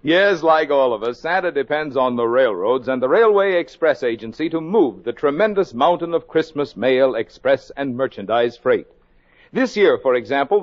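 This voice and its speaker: An American man working as a radio announcer, delivering a scripted sponsor message in 1950s style. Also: mid-range voice. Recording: dull vintage 1950s radio recording.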